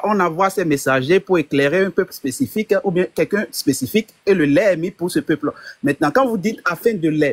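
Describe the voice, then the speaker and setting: A man's voice: fairly high pitch, no echo. Yoruba-speaking guy asking polite questions in a debate, dead dry mic.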